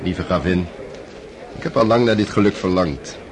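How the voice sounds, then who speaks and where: A man's voice: sits low, normal speed, noisy background, lightly acted. An actor playing a suave young nobleman. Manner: suave, smooth-talking. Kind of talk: radio play acting.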